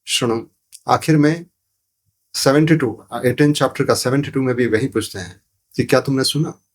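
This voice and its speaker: A man explaining spiritual ideas as a podcast guest, in a didactic way, repeating phrases and asking rhetorical questions. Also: mid-range voice. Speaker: podcast guest, sound therapy teacher. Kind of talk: explanatory spiritual talk in an interview.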